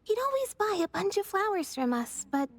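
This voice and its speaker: A woman playing a sweet, helpful young florist in an anime game, with a gentle shopkeeper's manner. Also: very high voice, very animated, normal speed, full character voice.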